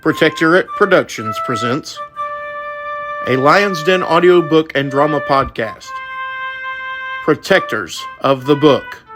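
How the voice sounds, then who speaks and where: A man reading scripted fantasy audiobook narration in a measured storytelling manner. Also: fairly high pitch, animated, over music.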